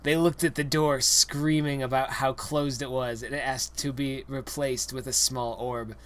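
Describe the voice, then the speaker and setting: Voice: medium pitch, fairly even intonation, measured pace, fairly bright tone. A young adult with a mid-range voice reads a silly parody story aloud. The narration is theatrical and exaggerated, played for comedy. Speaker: reader.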